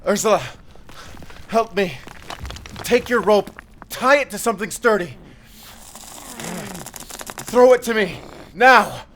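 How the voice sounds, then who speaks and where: A man's voice: high-pitched, expressive, slow, pauses often, a bit bright, slight background noise, heavily acted. A man playing a grim, determined werewolf hunter, speaking intently and commandingly in an audio drama. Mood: urgent, fierce. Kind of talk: cinematic audio roleplay acting.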